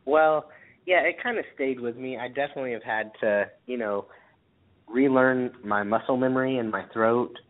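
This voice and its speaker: A man's voice: mid-range voice, normal speed. A man chatting casually as a musician guest in an interview. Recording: thin phone line, radio show.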